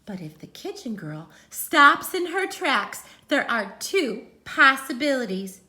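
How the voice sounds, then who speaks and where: High, animated woman reading a children's story. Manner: dramatic, emphatic, with pauses and sudden swells. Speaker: teacher reading a picture book aloud.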